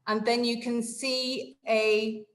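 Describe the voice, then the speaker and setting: A woman's voice: fairly high pitch, level tone, takes it slowly, reverberant. A woman with an English accent, hosting an online meeting and guiding people step by step, with frequent fillers and apologies. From England. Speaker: webinar facilitator. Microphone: video call.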